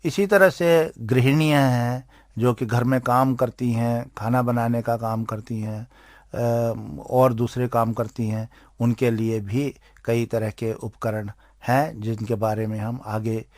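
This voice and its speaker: A man with an Indian accent giving explanatory interview answers as an expert, speaking in deliberate, halting phrases. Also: medium pitch, steady tone, darkish tone, no echo, studio quality. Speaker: interviewed expert on aids for blind people.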